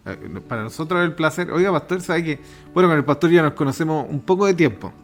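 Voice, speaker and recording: A man's voice: on the high side, expressive, fast, faint music behind. A young man hosting a youth podcast, upbeat and chatty, laughing easily with his co-hosts. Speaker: podcast host. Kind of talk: podcast interview.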